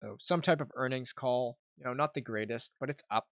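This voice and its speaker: A man's voice: medium pitch, a little fast, a bit bright, dry recording. A young man giving casual, informal live trading commentary as a livestream host, thinking aloud as he goes. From Canada. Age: young adult. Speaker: livestream host, amateur day trader.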